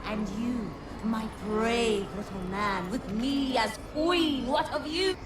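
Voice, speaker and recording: Cruel, seductive villainess, echoing and distant. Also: female voice, on the high side, takes it slowly. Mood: gloating, taunting. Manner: theatrical, taunting, menacing. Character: cruel, seductive, mocking corrupted concubine villain. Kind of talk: video game villain acting. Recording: echoing, distant, very noisy game audio.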